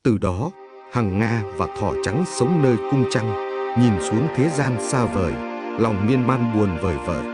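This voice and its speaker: A man's voice: fairly low pitch, expressive, unhurried. A man reading a scripted fairy tale as a storyteller. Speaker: storyteller narrator. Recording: music playing behind the voice. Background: prominent background music.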